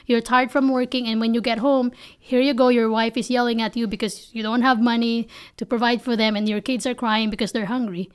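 Female interview guest, Filipino accent, slightly high voice, candid and emphatic storytelling in a conversational style. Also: level tone, fairly quick, few pauses, clean tone. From Philippines. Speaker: company founder and CEO, interview guest.

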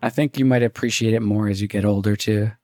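A man's voice: on the low side, fairly even intonation, quick pace, dark tone, no echo, studio quality. A man hosting a casual chat, talkative and eager to recommend things.

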